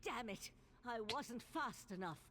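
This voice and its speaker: An actress playing a brisk, determined mage on a mission in a video game. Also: female voice, on the high side, animated, sharp tone, exaggerated voice. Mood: frustrated. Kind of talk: video game character dialogue.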